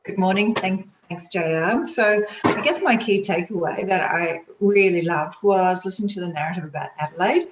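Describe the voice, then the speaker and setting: A woman on a video call with a croaky voice, sharing quick, enthusiastic feedback. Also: fairly low pitch, muffled recording. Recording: echoey room with faint noise.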